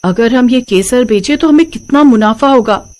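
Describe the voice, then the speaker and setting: Cartoon moral tale: actress as selfless young sister-in-law, high-pitched, caring, gently expressive. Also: female voice, normal speed, natural voice. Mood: excited. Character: kind, self-sacrificing sister-in-law, young married woman. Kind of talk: animated moral story dialogue.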